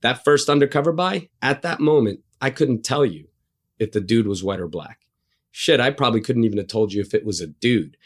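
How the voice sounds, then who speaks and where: A man's voice: medium pitch, animated, a little fast. A middle-aged man from Egypt, raised in New Jersey, telling stories as an interview guest in a blunt, self-deprecating way with casual swearing. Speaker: interview guest, undercover agent. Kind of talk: candid interview storytelling.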